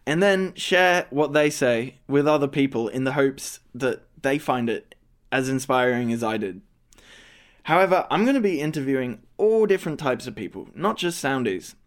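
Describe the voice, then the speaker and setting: Young male podcast host with a slight stammer; hesitant, self-deprecating, mid-pitched. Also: animated, moderate pace. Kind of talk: scripted podcast introduction.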